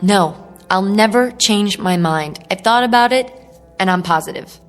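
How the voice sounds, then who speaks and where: A woman's voice: mid-range voice, measured pace, natural voice. An actress playing a defiant, idealistic young woman in a radio drama, earnest and questioning as she protests. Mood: protesting. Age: young adult. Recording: music bed under voice.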